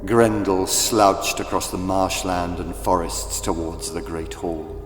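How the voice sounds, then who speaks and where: A man narrating a children's audiobook in a theatrical, deliberate, scripted storytelling style. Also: sits low, steady tone. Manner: theatrical, deliberate, with big swells and hushes. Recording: echoey, long reverb, music behind.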